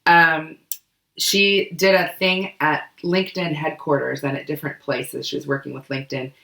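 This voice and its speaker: Casual podcast co-host, a woman with a cold-roughened voice, a bit low, recorded in a roomy space. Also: flat delivery, normal speed, sharp tone. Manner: chatty, loose, many fillers. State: hoarse from a cold, coughing. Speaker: book club podcast co-host. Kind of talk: casual explanatory chat.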